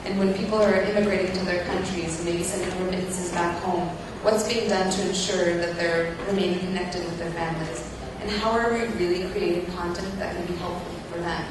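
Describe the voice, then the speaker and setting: 20s woman at a conference talking off the cuff, lowish voice, really echoey room. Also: steady tone, a little fast. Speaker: conference panel participant. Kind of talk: thoughtful unscripted discussion remarks. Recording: roomy, distant mic, noisy hall.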